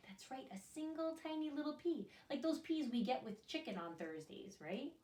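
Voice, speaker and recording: A woman's voice: high voice, expressive, moderate pace, darkish tone. A woman reading a picture book aloud to young children, expressively, often turning to them with questions and asides. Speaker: children's storyteller and teacher. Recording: echoey room, distant microphone.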